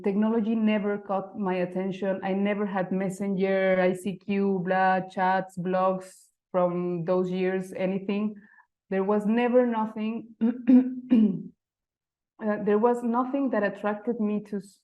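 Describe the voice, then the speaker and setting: A woman in her thirties with a Mexican accent presents to an audience in English, her second language. She talks in a mid-range voice, pausing on "uh" and restarting sentences as she looks for words. The recording sounds a little dull and lo-fi. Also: mid-range voice. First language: Spanish. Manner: hesitant, frequent fillers and restarts, non-native phrasing. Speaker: type designer giving a talk. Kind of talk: conference presentation. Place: lecture venue.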